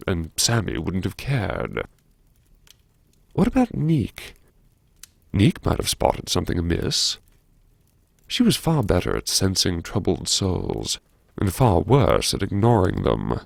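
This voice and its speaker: An actor playing a wry, brooding academic who muses to himself in old-fashioned, theatrical, self-mocking phrases, as in an audiobook drama. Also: male voice, sits low, vocal fry, no room sound, full character voice. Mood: rueful musing. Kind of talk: first-person audiobook drama monologue, talking to himself.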